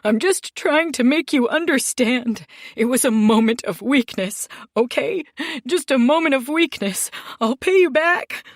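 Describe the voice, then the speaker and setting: A woman's voice: expressive, no room sound, clean studio sound. A woman doing a man begging for his life. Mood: desperate pleading. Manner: high, loud, fast, blubbering pleas.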